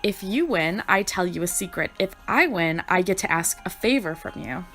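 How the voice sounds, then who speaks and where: A woman reading the lines of a gentle, earnest young male prince in a story game, softly and sincerely, her voice a little hoarse from a sore throat. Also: lowish voice, animated, moderate pace, faint music behind.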